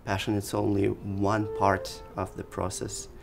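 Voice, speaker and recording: Middle-aged native Russian speaker, a clarinet teacher, measured and reflective, a gravelly low-ish voice, soft music beneath. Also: male voice, completely flat delivery. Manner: thoughtful, measured, with pauses and restarts. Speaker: clarinet professor. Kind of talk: reflective interview. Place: living room with daylight window.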